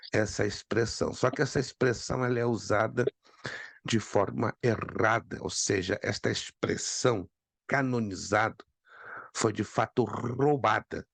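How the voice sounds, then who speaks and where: A man, hoarse from a cold, teaching an online Bible class and calling on students by name. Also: on the low side, a bit bright, no room sound. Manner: repeats key points for emphasis.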